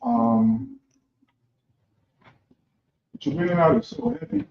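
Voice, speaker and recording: Male class reader, deferential and halting, with a lot of room echo, dull sound and faint noise. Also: extremely high pitch, animated, vocal fry. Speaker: class participant and scripture reader. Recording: echoey, dull, noisy room recording.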